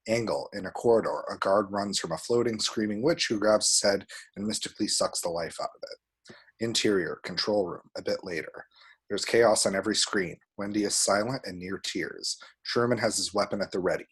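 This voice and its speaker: A man with a husky voice reads screenplay action descriptions aloud as a narrator. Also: lowish voice, a little fast, a bit bright. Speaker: table-read action narrator.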